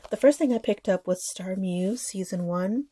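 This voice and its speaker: A woman in her thirties talks in a loose, chatty way, full of fillers and little laughs. She has a cold, so her voice comes out slightly nasal. Her pitch sits in an ordinary middle range for a woman. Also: moderate pace, dark tone. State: sick, a bit nasal. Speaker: anime collector vlogger. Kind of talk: casual haul chat.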